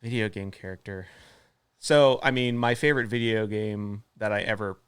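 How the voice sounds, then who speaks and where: A man's voice: on the low side, steady tone, moderate pace, smooth, no room sound. Man thinking aloud, dry close sound. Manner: hesitant, thinks aloud, repeats himself. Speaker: podcast guest. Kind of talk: casual chat.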